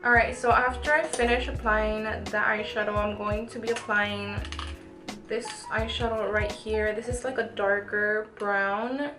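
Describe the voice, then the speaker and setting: A woman's voice: mid-range voice, steady tone, unhurried, pushed and bright. A woman in her early twenties talking informally through a makeup tutorial, chatty and thinking aloud as she goes. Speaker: beauty vlogger. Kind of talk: casual makeup tutorial chat. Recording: roomy echo with background music.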